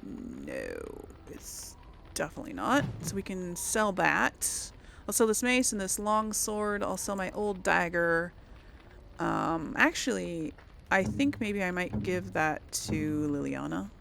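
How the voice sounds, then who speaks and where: A woman's voice: fairly low pitch, very animated, slow pace, gravelly, fairly bright tone, slight background noise. A woman hosting a casual let's play, rambling and thinking aloud as she comments on the game. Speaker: gaming video host.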